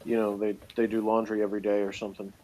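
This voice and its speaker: A man's voice: on the low side, completely flat delivery, rapid-fire, warm tone, poor audio. A man co-hosting a casual review chat, giving his reactions in an everyday conversational way. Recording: clear background room noise.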